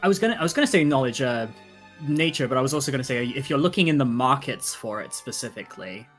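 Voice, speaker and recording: A man's voice: mid-range voice, animated, fast, fairly bright tone. A man running a tabletop game as game master, casually narrating and ruling on rolls, thinking aloud as he goes. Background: faint music.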